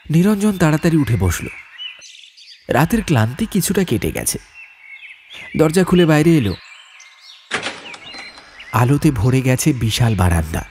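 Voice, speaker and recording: A man's voice: a little high, darkish tone, a little hiss or hum. A man narrating a scripted ghost story as a dramatic audiobook. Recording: music bed behind narration.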